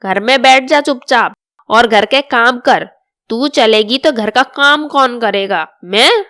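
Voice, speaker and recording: A woman's voice: a little high, takes it slowly, bright, forceful tone, dry recording, studio quality. A woman voicing an older, bossy and easily offended mother-in-law in a storybook drama. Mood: scolding. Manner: scolding, complaining.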